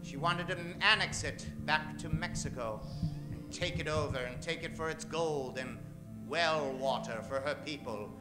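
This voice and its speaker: A man's voice: on the high side, moderate pace. A man narrating theatrically as the announcer of an old-time radio western, dramatic and emphatic, drawing out names. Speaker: announcer introducing a radio play cast. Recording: music behind the voice. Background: music.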